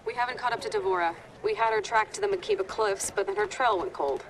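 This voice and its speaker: An actress playing a young soldier in a video game, reporting to her commander in a brisk, businesslike way. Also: medium pitch, big pitch swings. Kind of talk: dramatic video game cutscene acting. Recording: noisy outdoor comm call.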